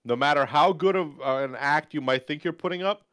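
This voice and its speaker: A man's voice: fairly high pitch, a little fast, fairly bright tone, clean tone. A man co-hosting a casual podcast, talking emphatically and confidently with a joking edge. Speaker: podcast co-host.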